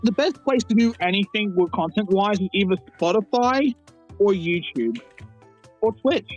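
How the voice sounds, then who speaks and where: A man's voice: high voice, big pitch swings, moderate pace, dull audio, soft background music. A man who stutters and repeats words, chatting earnestly on a game livestream. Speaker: co-host. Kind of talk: casual livestream chat.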